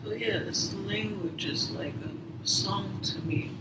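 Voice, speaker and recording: A man's voice: high voice, slow. A man telling a story in casual chat, rambling with many fillers and sometimes dropping to a whisper. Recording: roomy, distant mic, noisy background.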